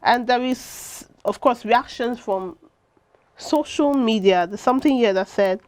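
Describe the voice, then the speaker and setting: A woman's voice: a little high, unhurried. A woman with a Nigerian accent hosting a morning talk show, reading social media posts aloud to her co-host and guest. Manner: upbeat, reads posts aloud, thanks viewers. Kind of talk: studio talk show presenting.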